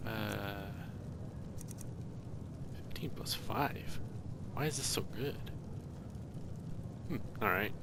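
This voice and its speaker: A man's voice: on the low side, drawn-out words, creaky, long pauses, heavy background noise. A man hosting a let's play, giving casual commentary and muttering to himself as he plays. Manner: muttering to himself while playing. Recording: loud game music under voice.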